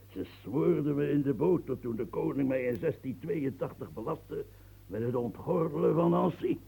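A man's voice: mid-range voice, creaky, dark tone, breathy edge, heavily acted, low-quality recording. An actor playing an aging, boastful, womanizing old nobleman who rambles, chuckles, coughs and stammers in a radio play. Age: older.

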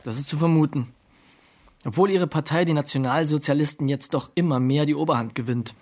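An actor playing a reserved, polite gentleman writer in a radio drama, measured and restrained. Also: fairly high pitch, close to an everyday voice. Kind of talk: radio drama, literary narration and dialogue.

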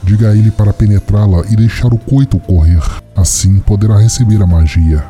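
A man's voice: lowish voice, fairly even intonation, fairly quick, mellow, soft background music, close to an everyday voice. A man voicing a majestic giant she-wolf deity in a fantasy audio drama, solemn, formal and commanding.

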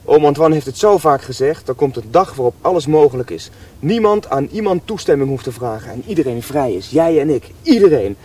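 Husky, ardent teenage rebel. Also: male voice, on the high side, sing-song, fairly quick, mellow, recorded from a distance. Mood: tender, hopeful. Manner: earnest, fervent. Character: idealistic seventeen-year-old boy, ardent young rebel in love. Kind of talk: radio drama acting.

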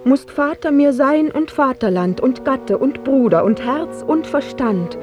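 A woman's voice: high-pitched, lively intonation, unhurried, dull audio, soft background music. A woman playing a young, clinging, devoted runaway princess, pleading anxiously in rhymed verse in an audio drama. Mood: anxious devotion.

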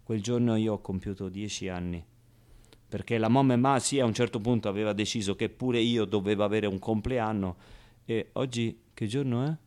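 A man's voice: lowish voice, fairly even intonation, normal speed, darkish tone, natural voice. A man playing a streetwise, wry and wounded ten-year-old orphan boy in a theatrical radio monologue, talking in slangy, colloquial bursts and muttered asides. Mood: wry, matter-of-fact. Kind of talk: theatrical radio monologue, first-person storytelling.

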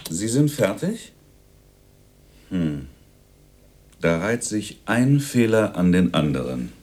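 An actor playing a brusque, condescending company boss in a radio drama, speaking pointedly and belittlingly. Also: male voice, low-pitched, sing-song, slow, a little mellow, slight background noise, heavily acted. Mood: critical, cold.

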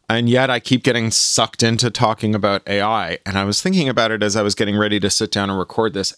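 A man with a lowish voice speaks as a solo podcast host, articulate and a little wry. The sound is close and dry, with very little room in it. His delivery sits between chatty updates and reading his own column aloud. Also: fairly bright tone, no room sound.

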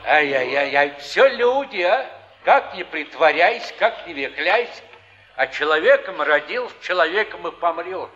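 Sly old pilgrim, high and reverberant. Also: male voice, animated, normal speed, a bit bright. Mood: musing, amused. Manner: folksy, chuckling, philosophizing in sayings. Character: kindly, sly old male wandering pilgrim. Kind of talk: theatrical stage acting. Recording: dull 1950s-70s stage recording, long hall reverb.

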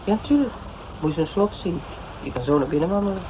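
An actor playing a stubborn, determined amateur sleuth in a Dutch radio crime drama, talking bluntly and colloquially. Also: male voice, high voice, lively intonation, quick pace. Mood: impatient, blunt. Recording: noisy old radio drama recording.

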